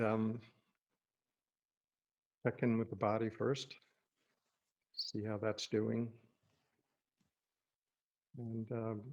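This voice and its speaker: A man leading an unscripted guided meditation as a teacher, speaking gently and reassuringly in halting phrases with pauses. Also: mid-range voice, dull audio.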